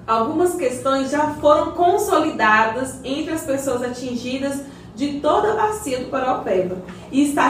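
Woman playing encouraging explainer lady in radio soap, high voice, super echoey, off mic. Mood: reassuring. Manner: didactic, encouraging. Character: encouraging, well-informed woman guiding her community. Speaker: character explaining community projects. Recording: very echoey, distant mic, faint noise.

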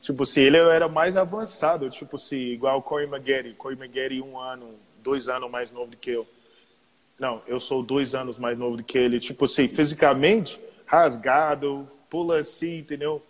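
A man's voice: medium pitch, fairly even intonation, normal speed. A man with an American accent, a native English speaker, chatting casually in a slangy way full of repeated tags. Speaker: podcast interviewer, basketball player. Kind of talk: casual chat. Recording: long reverb, roomy sound.

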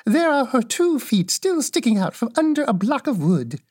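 A reader voicing a kindly, calm little old woman who is a good witch, speaking sweetly and a little husky. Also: normal speed. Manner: high, sweet, gently cheerful.

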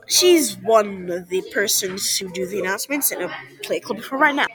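A woman vlogging casual, rambling opinions in an excitable, easily exasperated way, full of exclamations. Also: mid-range voice, normal speed. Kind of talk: casual rambling opinion commentary on transit announcements. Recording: busy chattering room with crowd noise. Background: other people's conversation.

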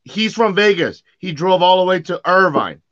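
A man's voice: sits high, animated, moderate pace, a bit bright. A man co-hosting a podcast, talking casually and giving his opinions, in slightly lo-fi audio. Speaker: podcast co-host.

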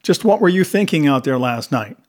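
A man's voice: on the high side, fairly even intonation, brisk, lightly acted. A man voicing a hot-tempered, blustering police lieutenant who barks and sputters in an audiobook. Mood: angry, accusing. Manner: barking, sputtering when flustered.

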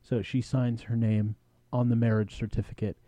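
A man's voice: mid-range voice, level tone, moderate pace, mellow, no room sound. A man co-hosting a podcast, casually recapping a show with slangy, playful storytelling and bits of acted-out dialogue. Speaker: podcast co-host.

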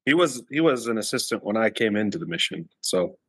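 A man's voice: lowish voice, animated, brisk, a bit bright, slightly rough, no echo. A man hosting a casual interview, chiming in with brief questions and prompts. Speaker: podcast host.

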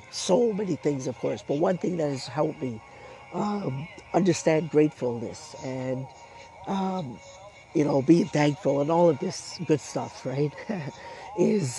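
A man hosts a solo podcast from outdoors, rambling with plenty of fillers. His voice is hoarse from a sore throat and sits a little high, and soft music plays behind him. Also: poor audio. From Canada. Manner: rambling, many fillers and restarts. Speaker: podcast host. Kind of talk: casual solo podcast talk. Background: intro music playing.

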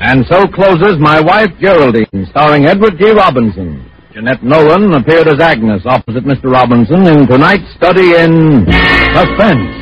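A man's voice: fairly high pitch, lively intonation, moderate pace. A man reading formal closing credits as a 1940s radio announcer. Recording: muffled old radio with music.

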